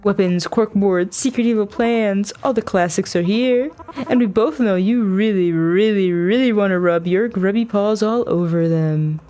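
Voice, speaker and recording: A woman's voice: medium pitch, moderate pace, dark tone, natural voice. A woman reading aloud visual novel dialogue as a smug, taunting villain, in a mocking, theatrical, wordy way. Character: smug, taunting villain toying with a captive investigator.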